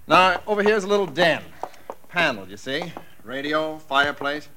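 Bright, animated radio real estate agent. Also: male voice, on the high side, normal speed, a little hiss or hum. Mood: salesmanlike. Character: chatty, eager-to-please male real estate agent. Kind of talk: 1940s radio drama acting. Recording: vintage radio recording.

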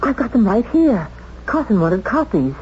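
An actress playing a shrewd, determined woman in a 1970s radio drama, with intense, deliberate delivery. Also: on the high side, sing-song, darkish tone. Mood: agitated, urgent. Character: determined, shrewd woman accused of murder, confronting the killer. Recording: muffled, noisy old radio drama tape.

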